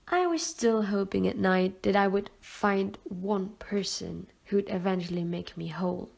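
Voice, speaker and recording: A young woman recites slam poetry at a slow, deliberate pace. Her medium-pitched voice often frays into creak. The delivery is earnest and emotional, with dramatic shifts between hushed and forceful phrasing. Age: 20s. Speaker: amateur poet.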